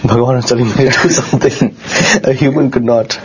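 A man with a bassy low voice, telling a personal story in a casual, earnest way, often halting and repeating words. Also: steady tone. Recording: dull lo-fi sound with faint noise.

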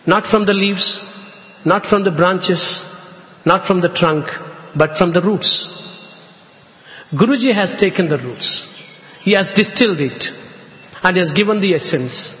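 A man from India with an Indian English accent, a native Telugu speaker, giving a measured devotional talk at a spiritual gathering. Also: high-pitched, fairly bright tone. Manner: slow, measured, drawn-out phrases. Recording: echoey hall, dull lo-fi sound.

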